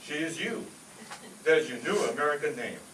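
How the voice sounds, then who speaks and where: A man playing a brisk, patient helper to a new immigrant in a comic radio skit. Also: fairly high pitch, expressive, fairly bright tone. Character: devoted immigrant son steering his mother, and brisk immigration officer. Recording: echoey, distant stage recording, faint noise.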